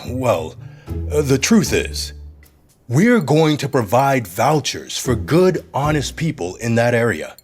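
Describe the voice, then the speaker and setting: An actor playing a slick, scheming, domineering city mayor in an audio drama, with a politician's smooth, bossy manner. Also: male voice, mid-range voice, sing-song, slow, soft background music, heavily acted. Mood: persuasive, smooth. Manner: smooth politician's charm turning to bossy irritation.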